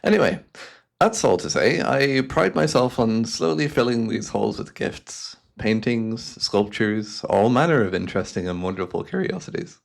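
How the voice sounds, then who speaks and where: Male actor as cunning gentleman hypnotist, lowish voice, heavy vocal fry, florid and theatrical, softly coaxing, fantasy hypnosis audio roleplay. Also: expressive, normal speed. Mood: proud, musing. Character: devious, cultured male hypnotist collector, silkily courteous.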